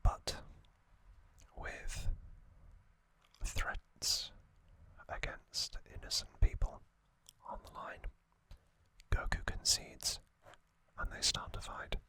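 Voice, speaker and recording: A man whispering a calm trivia recap for an ASMR video close to the microphone, with soft ums and casual asides. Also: extremely slow, long pauses, rough recording. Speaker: ASMR channel host.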